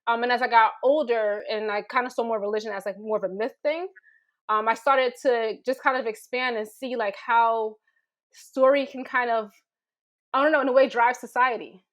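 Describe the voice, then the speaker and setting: Female panelist, slightly high pitch, thoughtful and informal, feeling her way through each sentence. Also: fairly even intonation, highs cut off. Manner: tentative, searching, halting phrasing. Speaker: teacher and storyteller panelist. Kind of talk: thoughtful casual panel talk.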